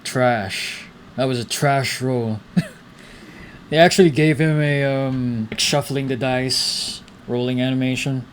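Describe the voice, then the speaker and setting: A young man commenting casually on a game. He has a medium-pitched voice and muses aloud as a streamer would, with faint background noise. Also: fairly even intonation, slow. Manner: musing aloud, reading out dialogue.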